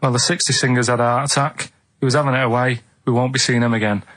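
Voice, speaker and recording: A man reading out quiz clues and chatting casually on a radio show, in a halting, matter-of-fact way. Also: mid-range voice, fairly even intonation, fairly bright tone. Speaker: radio show regular running a quiz feature. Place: radio studio.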